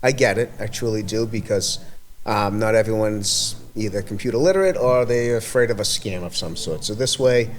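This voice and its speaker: A man with an Everett, Massachusetts accent, talking as a community event organizer in a casual podcast chat, rambling and halting with many restarts. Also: on the low side, slight background noise.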